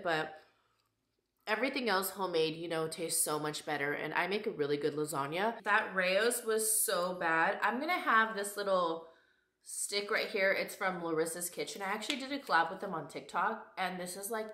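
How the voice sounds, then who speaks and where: A woman in her thirties, vlogging casually at home with a handheld camera, chatty and candid, her voice a little raspy from a cold. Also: medium pitch, fairly bright tone. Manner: chatty, candid, laughs often. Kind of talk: casual vlog chat. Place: home kitchen and living room. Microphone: camera held at arm's length. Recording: echoey room.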